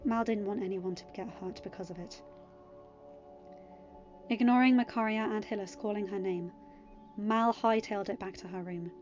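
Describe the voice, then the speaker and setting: A woman's voice: mid-range voice, darkish tone, lo-fi sound. Woman narrating a story over music. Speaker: fan fiction narrator. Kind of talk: scripted audiobook narration.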